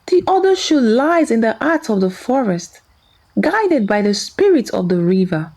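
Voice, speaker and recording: Woman voicing a solemn mystical bird, mellow. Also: a little high, very animated, unhurried, full character voice. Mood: solemn guidance. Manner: melodic, solemn. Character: wise mystical bird guiding a young traveller.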